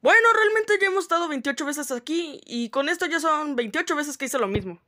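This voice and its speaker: Casting: mujer de voz muy aguda y exaltada para doblaje de caricatura. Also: female voice, high voice, brisk. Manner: excitable, exclaiming. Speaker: fan dub voice actor. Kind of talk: cartoon fan dub acting. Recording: music playing behind.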